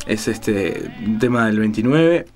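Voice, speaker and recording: A man hosting casual music talk, halting at times and repeating his phrases. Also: fairly low pitch, animated, darkish tone. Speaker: radio show host.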